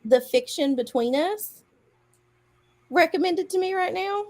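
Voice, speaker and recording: A woman's voice: very high-pitched, expressive, moderate pace. A woman chatting casually about books as part of a livestream. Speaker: book-chat livestream participant. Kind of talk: casual chat.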